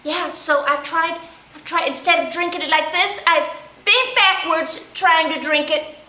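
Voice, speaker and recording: A very high, bright little penguin, as a woman puts it on, fussing dramatically and drawing out its sighs in a slow, unhurried way. It talks straight to the children at home in a storytime puppet play, and the sound carries a roomy echo. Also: steady tone, full character voice. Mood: fretful. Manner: dramatic, sighing, talks to the audience. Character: fretful little penguin with hiccups.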